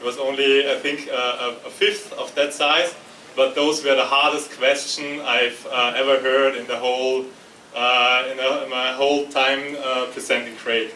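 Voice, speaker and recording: A man from Austria giving a tech meetup talk, halting with many fillers and restarts, jet-lagged. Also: mid-range voice, level tone, sharp tone. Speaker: conference presenter. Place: meetup room. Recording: echoey room, distant mic, faint noise.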